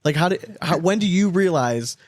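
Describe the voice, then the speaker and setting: A man's voice: high-pitched, expressive, normal speed, no echo. A man hosting a podcast in casual, slangy, sweary conversation. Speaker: podcast host. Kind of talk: casual chat interview.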